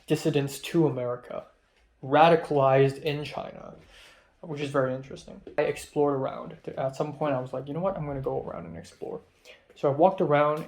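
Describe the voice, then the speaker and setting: Young man from hong kong, native cantonese speaker, somewhat high voice, rambling casual vlog chat. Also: a little mellow. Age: young adult. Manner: rambling, chatty, frequent fillers and trailing-off asides. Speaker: college student vlogger.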